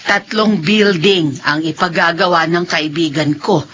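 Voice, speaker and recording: A woman acting in a radio drama as a kindly, devout elderly mother who speaks gently and earnestly. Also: lowish voice, fairly even intonation, measured pace, a little hiss or hum. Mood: pleased, reassuring. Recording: dull lo-fi audio with faint music.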